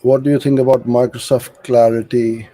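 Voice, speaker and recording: A man's voice: mid-range voice, little pitch movement, moderate pace, warm tone, no echo. A middle-aged man from Karachi, Pakistan, coaching in an informal live question-and-answer session, frank and practical as he explains. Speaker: digital marketing agency owner hosting a live Q&A.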